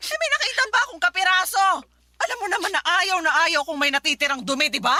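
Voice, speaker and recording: High-pitched nagging elderly mother-in-law. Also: animated, fast talker, pushed and bright, slight background noise, heavily acted. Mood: irritated, scolding. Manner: scolding, complaining. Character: nagging, fault-finding elderly mother-in-law, quick to take offence. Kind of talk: Filipino radio drama acting. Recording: music bed behind the voice.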